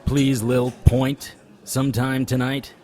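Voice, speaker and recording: A man's voice: mid-range voice, little pitch movement, slow, warm tone, natural voice. A man playing a weary, sardonic occult investigator in a noir audio drama, with a dry, wisecracking delivery. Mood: weary, dismissive. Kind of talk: noir audio drama acting with first-person narration. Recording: crowd chatter behind the voice. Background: crowd chatter.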